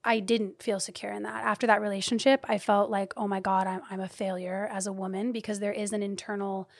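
A woman in her thirties, a guest in a candid podcast conversation, talking animatedly with laughs and the odd curse, and dropping to a hush now and then. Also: mid-range voice, fairly quick, vocal fry, dry recording. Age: 30s. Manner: animated, swearing, laughing, shifting from loud to hushed. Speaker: guest, community leader and business owner.

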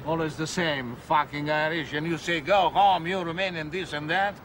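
A man's voice: a little high, fairly even intonation, normal speed, natural voice. An actor playing an angry, fed-up man with blunt broken English in a film. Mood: indignant, angry. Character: angry Bulgarian man, fed up with being mistaken for Romanian. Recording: film clip outdoors with traffic noise.

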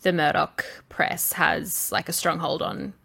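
Brief: Australian woman podcast co-host, lower pitch, plainspoken, swears in easy chat. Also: little pitch movement, a little slow, vocal fry, pushed and bright. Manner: blunt, swears, laughs easily. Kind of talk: casual chat.

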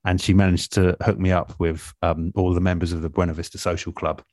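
A man chatting casually as an interview guest, telling anecdotes in a halting, informal, self-deprecating way. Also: low-pitched, little pitch movement, darkish tone, no echo. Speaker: radio producer and interview guest.

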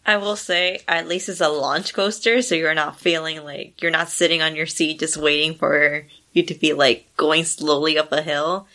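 A podcast guest chatting about a favorite roller coaster in a giggly, animated way. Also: medium pitch, normal speed, few pauses. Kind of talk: casual chat.

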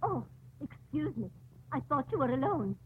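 An actress playing a kindly, fussy, chattering nun in a 1950s radio drama, with a homely, fussing way of talking. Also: female voice, sits high, big pitch swings, moderate pace. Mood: chatty, insistent. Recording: muffled, phone-like 1950s radio recording.

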